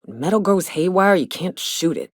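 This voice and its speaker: A woman voicing a gruff, tough but caring frontier monster hunter in a video game, with wry, folksy, blunt delivery. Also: fairly low pitch, expressive, moderate pace, no echo, studio quality. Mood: wry, sardonic. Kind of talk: video game character dialogue.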